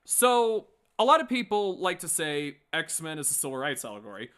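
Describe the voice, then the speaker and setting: A man's voice: fairly high pitch, pushed and bright. A man hosting a casual, opinionated commentary show, talking informally in a rambling, stop-start and emphatic way. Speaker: host of a late-night pop culture commentary show.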